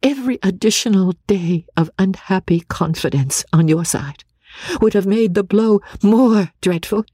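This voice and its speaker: A woman reading a classic novel aloud, playing a caring, sensible young woman who speaks in an earnest, measured, gently urging way. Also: mid-range voice, animated, breathy edge, dry recording, clean studio sound, pitched above the performer's own voice. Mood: earnest consoling.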